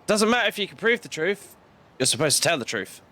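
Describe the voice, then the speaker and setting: A male streamer talks loosely to his viewers after a session, his voice worn raspy from a long stream. His tone is bright and animated, moving up and down as he rambles. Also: high voice, fast. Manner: relaxed, rambling chat to viewers. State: raspy, coughing. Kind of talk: casual gameplay commentary.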